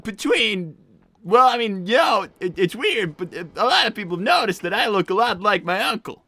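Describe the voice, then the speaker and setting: A boyish cartoon fox lad, put on by a performer in live roleplay, with a bright, animated voice. He stammers and waffles bashfully, circling around what he means. The sound is dry and close. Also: medium pitch, moderate pace, dry recording. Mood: awkward, bashful. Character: bashful, evasive, boyish young male fox. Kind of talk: improvised live character roleplay.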